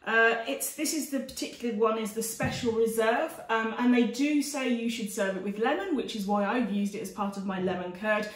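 A woman's voice: on the high side, normal speed, hardly any pauses. A woman in her forties presenting an informal cookery demonstration to camera in a chatty way, recorded in a dining room. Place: domestic dining room, indoors. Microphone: camera a few steps away. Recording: roomy, distant camera microphone.

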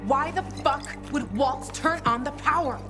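A woman's voice: high voice, measured pace, music in the background. An actress playing a tough, fiery young woman fighter in a video game, blunt, urgent and foul-mouthed. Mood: exasperated. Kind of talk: dramatic video game acting.

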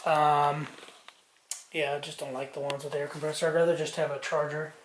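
A man, casually thinking aloud lots of ums and soft muttering while he tests wiring outdoors. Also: a little high, little pitch movement, brisk, a little hiss or hum. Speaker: vlogger. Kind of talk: casual hands-on narration while testing a tractor's wiring. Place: outdoor yard and garage workshop.

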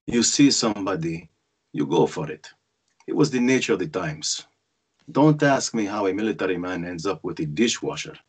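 Low, husky brooding narrator played by a man. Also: lively intonation, moderate pace. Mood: wry, reflective. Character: grown son narrating his parents' story, wry and brooding. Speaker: actor reading narrator part. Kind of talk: dramatic first-person narration at a cold read. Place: indoor room on a video call. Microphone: video call.

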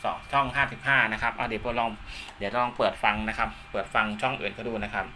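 A man's voice: lowish voice, fast talker, pushed and bright, a little hiss or hum. A man giving a casual hands-on demonstration as a radio hobbyist, recorded indoors at home. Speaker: amateur radio hobbyist presenting his own video.

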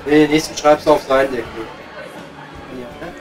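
A man's voice: fairly high pitch, fairly even intonation, brisk, a faint crowd, natural voice. A man playing a grumbling, womanising coal miner with a Ruhr German accent, rambling wryly in an improvised comedy audio play. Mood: wry banter. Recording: slightly distant mic, music behind.

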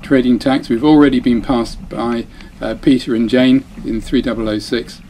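A man's voice: medium pitch, fairly even intonation, moderate pace, dark tone, a little hiss or hum, rough recording. A man narrating his own dinghy race, chatty and self-deprecating, often breaking off mid-phrase. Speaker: dinghy racing sailor. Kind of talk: voice-over commentary on race footage.

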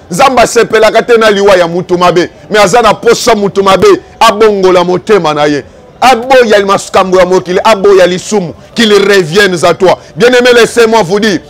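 A man's voice: high voice, moderate pace, a bit bright. A man with a Congolese accent preaching a fervent evangelical sermon, exhorting and accusing with repeated rhetorical questions.

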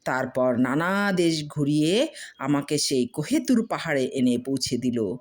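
A woman's voice: low-pitched, lively intonation, unhurried. Lady doing a sly boastful traveller guy from a storybook, coaxing, really up and down.

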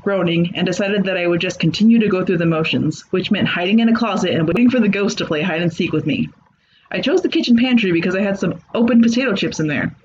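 A man's voice: sits high, highs cut off, a little hiss or hum. A man reading a comedic horror story aloud with a dry, sarcastic delivery. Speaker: narrator. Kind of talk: comedic creepypasta narration.

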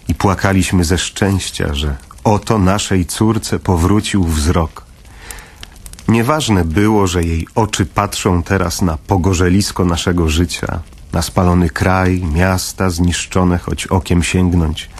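A man narrating a literary audio drama from a script, with a husky voice. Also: low voice.

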